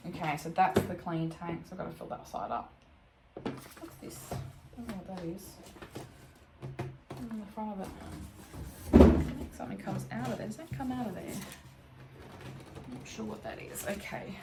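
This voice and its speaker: A woman with an Australian accent, croaky from allergies, chatting casually as she works through a DIY cleaning job at home. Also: mid-range voice, expressive, unhurried, pauses often, very noisy. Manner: thinking aloud, chatty, self-correcting. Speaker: homestead vlogger. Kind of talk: casual DIY vlog chat. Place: home, indoors.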